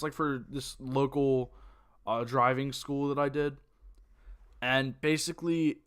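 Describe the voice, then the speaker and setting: A teenage guy streaming from his room, talking into a desk mic in a somewhat high voice. He rambles loosely, circling back with "like" and "um" and correcting himself mid-thought. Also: a bit bright, clear, resonant tone. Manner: rambling, with many fillers and self-corrections. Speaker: streamer reacting to videos. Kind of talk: casual live-stream chat.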